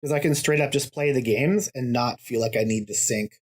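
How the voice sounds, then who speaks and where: A man talking as a podcast guest in casual chat, halting and restarting, laughing through his points. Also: mid-range voice, breathy edge.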